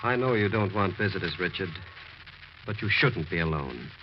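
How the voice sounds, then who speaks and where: An actor playing a concerned, stern doctor who reasons earnestly and insistently in a 1940s radio horror drama. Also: male voice, low-pitched, animated, normal speed, loud music behind the voice. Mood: urgent, stern concern. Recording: muffled, noisy old radio broadcast.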